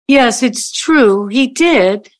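A woman's voice: fairly high pitch, lively intonation, drawn-out words. A woman in an audio play acting an older ex-girlfriend who is hesitant at first, then bitterly accusing. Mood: reminiscing.

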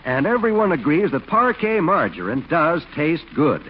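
A man's voice: on the high side, very animated, normal speed. A man announcing and narrating from a script in polished, emphatic 1940s radio style. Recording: muffled 1940s radio recording with hiss.

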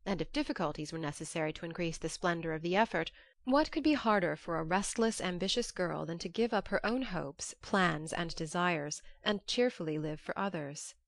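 A woman narrating an audiobook in an expressive, literary storytelling style. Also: lowish voice, gravelly, no room sound.

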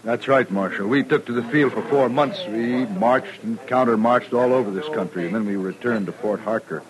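An actor playing a restless former soldier in an old-time radio western, earnest and well-spoken with an American accent. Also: male voice, medium pitch, normal speed, crowd noise, close to an everyday voice, poor audio. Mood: bitter. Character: restless, bored ex-soldier seeking a marshal's job. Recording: old radio drama recording.

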